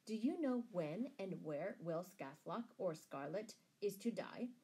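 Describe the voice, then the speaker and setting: A woman's voice: fairly low pitch, lively intonation, a little slow, warm tone. A woman voicing a bold, courteous male outlaw leader in a storybook, with an emphatic, commanding manner.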